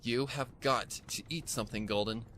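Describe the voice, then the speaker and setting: A man's voice: medium pitch, moderate pace, fairly bright tone, slight background noise, natural voice. A man voicing a stern, protective pegasus mare in a story reading, firm and urgent in manner. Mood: exasperated.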